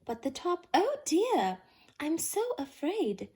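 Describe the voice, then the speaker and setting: A woman's voice: very high-pitched, sing-song, slow pace, a little mellow, voice pushed up, exaggerated voice. A woman voicing a dainty, fretful housemaid in a children's storybook, in a sweetly fussing manner. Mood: pleased, then worried. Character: fretful, dainty young upstairs maid. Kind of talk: children's storybook read-aloud.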